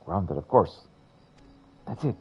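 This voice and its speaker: A man's voice: sits low, lively intonation, takes it slowly, breathy edge, muffled recording, heavily acted. A man voicing a formal, fearful adventurer who tells things in florid, dramatic style. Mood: resolute. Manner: grandiloquent, dramatic.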